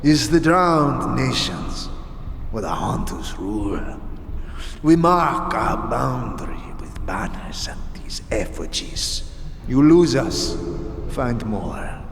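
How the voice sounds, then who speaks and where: Ghoul pack leader: predatory and menacing, dragging pace, swooping pitch, hissing rasp, fantasy audio drama. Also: male voice, big pitch swings, in a large hall, heavily acted. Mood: commanding, sly. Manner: slow, menacing, broken hissing phrases. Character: cruel, hungry male ghoul pack leader hunting monsters.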